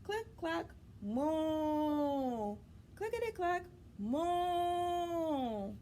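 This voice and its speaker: A woman reader acts out farm animals at a typewriter in a children's storybook. The clacks are high and singsong, the animal calls drawn out and rhythmic, over some background noise. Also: big pitch swings, extremely slow, warm tone, exaggerated voice. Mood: playful, rhythmic. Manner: rhythmic, singsong sound effects.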